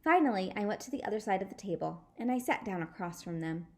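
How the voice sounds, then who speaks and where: A woman reading a children's story aloud in an expressive, animated storyteller manner. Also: mid-range voice, quick pace. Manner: animated, acts out dialogue. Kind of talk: expressive children's chapter book read-aloud.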